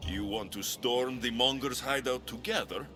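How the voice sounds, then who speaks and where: An actor playing a distrustful Greek mercenary hero in a video game cutscene, curt, sardonic and challenging. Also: male voice, mid-range voice, moderate pace, lightly acted. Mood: blunt, wary. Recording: music behind.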